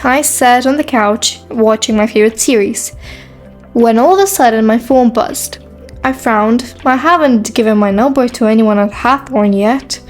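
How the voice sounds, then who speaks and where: A woman playing a guarded, sassy high school girl in a first-person romance story, with a skeptical, dry manner. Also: a little mellow, lightly acted.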